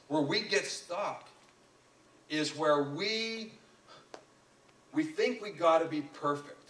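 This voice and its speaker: A man's voice: a little high, fairly bright tone. A man giving an emphatic, folksy motivational business talk, repeating phrases for effect. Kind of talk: live motivational seminar talk. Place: room with a live audience. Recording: roomy echo, distant microphone.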